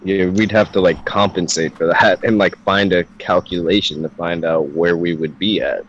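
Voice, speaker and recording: A man's voice: sits low, steady tone, normal speed, lo-fi sound, a little hiss or hum. A man in his twenties in a casual debate chat, talking hesitantly and leaning on filler words. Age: 20s. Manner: hesitant, filler-heavy with many "like"s.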